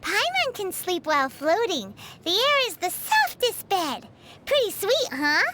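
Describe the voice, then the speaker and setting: A woman voicing a tiny, childlike fairy companion in a video game, with a squeaky voice and a perky, eager manner. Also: sing-song, unhurried, pushed and bright, full character voice. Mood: cheerful. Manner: perky, refers to self in third person.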